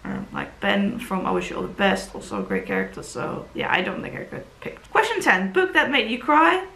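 A woman in her thirties chatting casually to camera as a book vlogger, somewhat halting, with sentences that trail off. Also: mid-range voice, huge pitch swings, vocal fry, fairly bright tone, slight background noise. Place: plant-filled glassed patio or garden room. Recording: roomy, echoey sound.

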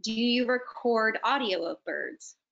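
A woman's voice: fairly high pitch, animated, slow, gravelly, fairly bright tone. Woman hosting over slightly muffled video call. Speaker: host relaying audience questions. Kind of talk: casual hosting chat. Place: indoor room on a video call. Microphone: computer video call. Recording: dull, lo-fi video call audio.

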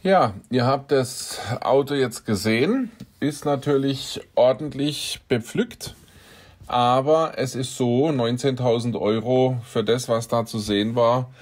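A middle-aged man with a middle-pitched voice narrates his encounters with scammers in a casual, dry way. He reads out messages and comments on them with a wry edge, as a car dealer talking to his viewers. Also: slow pace. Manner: dry, wry storytelling about scam messages. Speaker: car dealer and channel host.

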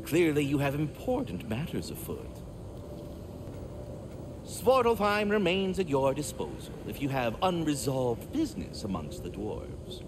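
A man's voice: medium pitch, wildly swooping pitch, measured pace, noisy background. A man voicing a chatty, courtly talking squirrel in a video game, fussy and affable with pompous, theatrical enunciation. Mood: affable, explanatory. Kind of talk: video game character acting.

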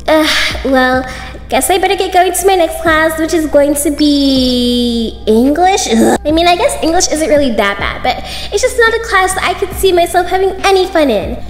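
Woman doing nervous freshman girl, high voice, muttering to herself, cartoon roleplay, music under. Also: animated, normal speed. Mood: unenthusiastic. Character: anxious, self-doubting fourteen-year-old freshman girl.

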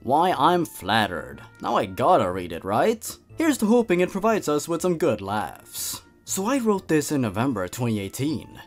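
A man's voice: on the high side, huge pitch swings, moderate pace, few pauses, faint music behind. A man hosting a comedic story reading, theatrical and amused in his reactions. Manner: theatrical, amused, chuckles between lines. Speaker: host of a creepypasta reading channel.

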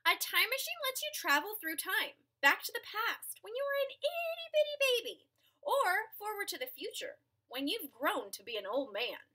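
A young adult woman reading a picture book aloud to children in an expressive, dramatic and playful way. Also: very high-pitched, normal speed. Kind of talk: animated children's read-aloud. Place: small furnished room at home.

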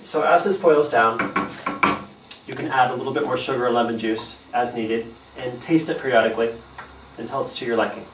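Male home-cook host, easygoing step-by-step demo voice, mid pitch, mic a few feet off in a reverberant kitchen. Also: fairly quick. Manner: relaxed, chatty, with fillers and restarts. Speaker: home cooking show host. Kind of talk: casual step-by-step cooking demonstration. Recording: echoey room, distant mic, faint noise.

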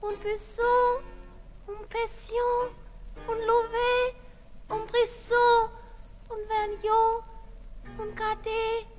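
A woman playing a fragile young female assassin in a 1960s German radio drama, halting and solemn like a sleepwalker. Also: very high-pitched, little pitch movement, darkish tone, full character voice. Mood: trancelike, grieving. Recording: 1960s radio recording with music.

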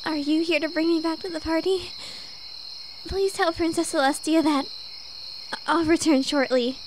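A woman's voice: extremely high pitch, fairly even intonation, moderate pace, slight background noise. A woman voicing a polite, overwhelmed young pony princess with a hesitant manner in a cartoon dub. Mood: downcast.

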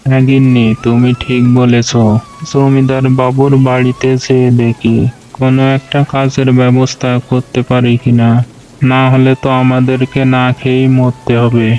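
A man acts out a poor village day labourer from a cartoon folk tale. He is an earnest, worried husband with a plaintive way of talking. His pitch is middling and stays very even, over music. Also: normal speed, natural voice. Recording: music under the voice.

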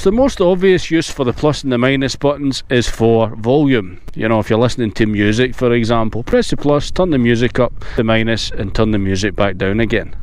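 A middle-aged man giving a casual motorcycle product review, with road and outdoor noise behind him. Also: a little high.

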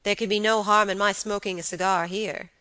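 A woman voicing a grave, reproachful young gentleman in a novel, loyal and somewhat contemptuous, with emphatic delivery. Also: mid-range voice, fairly even intonation, brisk, no echo, natural voice. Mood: musing.

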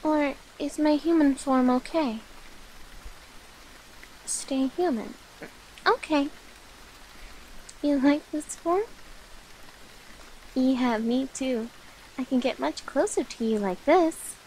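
A woman acts out a sleepy young cat-girl, a witch's affectionate familiar, in an ASMR roleplay. Her voice is very high and unhurried, with a soft, playful, coaxing manner. There is light noise behind her. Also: rough recording. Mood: affectionate, tender.

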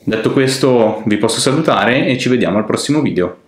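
A man's voice: medium pitch, expressive, brisk. A man giving a casual, chatty hands-on tutorial as a vlog host at home. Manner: colloquial, chatty, explanatory. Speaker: motovlogger and channel host. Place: indoors, living room at home. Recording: echoey home room.

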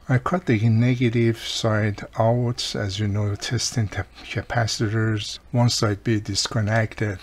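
A man's voice: lowish voice, vocal fry, slightly rough. A middle-aged man giving casual, hands-on instruction in an explanatory way, with frequent small cautions. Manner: explanatory, repeats "you know" and cautions. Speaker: radio repair video host. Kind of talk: casual hands-on repair tutorial. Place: indoor workbench.

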